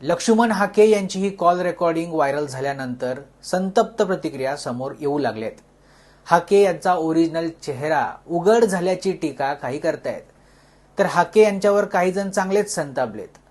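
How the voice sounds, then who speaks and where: News presenter reading a script, medium pitch voice, report style narration. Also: animated, moderate pace, a little mellow.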